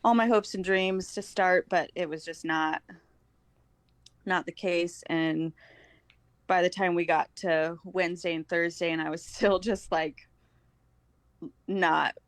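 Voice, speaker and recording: A woman's voice: fairly low pitch, steady tone, slow, long pauses, no echo. A woman speaking as a podcast guest in an informal, hesitant chat, often dropping "like" into her sentences. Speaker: podcast guest, homeschooling mother. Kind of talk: casual chat.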